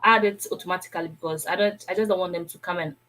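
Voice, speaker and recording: A woman's voice: fairly low pitch, steady tone, very fast, over a noisy crowd, poor audio. A young woman from Ghana with a Ghanaian accent, recounting her experience as a student guest in a casual, emphatic way.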